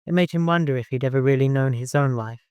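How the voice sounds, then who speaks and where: A man's voice: medium pitch, fast talker, a little mellow, smooth, dry recording. A man reading scripted fiction as an audiobook narrator.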